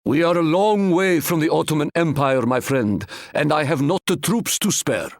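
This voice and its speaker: A man's voice: a little high, lively intonation, normal speed, no echo, studio quality. A stately, proverb-loving Ottoman sultan. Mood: regretful. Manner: stately, formal, proverb-laden. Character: proud, courteous Ottoman sultan and ally, fond of proverbs. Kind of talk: video game voice lines.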